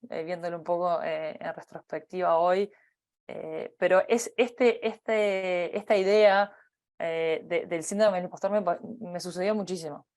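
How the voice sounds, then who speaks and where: A woman in her thirties with a Uruguayan accent, giving a personal career talk, pausing often with fillers and restarting her phrases. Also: lowish voice. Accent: Uruguayan Spanish. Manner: hesitant, many fillers and restarts. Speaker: company partner giving a talk.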